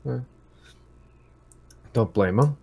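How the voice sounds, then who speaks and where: A man playing a tabletop game, chatting casually in a halting, hesitant way that often trails off. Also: medium pitch, expressive, vocal fry, a little mellow, husky.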